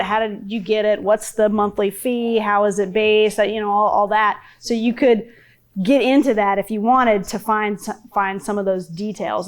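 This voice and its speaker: A woman on a panel giving a casual, chatty answer with restarts and fillers. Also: medium pitch. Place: public forum room.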